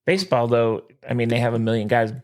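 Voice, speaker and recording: A man co-hosting a podcast, chatting excitedly about sports and swearing freely. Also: fast. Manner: excitable, swears, lively swings in pitch. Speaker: podcast co-host. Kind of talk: casual sports chat.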